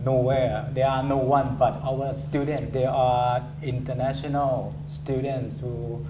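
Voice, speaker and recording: A man's voice: medium pitch, poor audio. Male TV host. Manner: halting, with fillers and restarts. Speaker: TV program host. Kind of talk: news reading and studio interview.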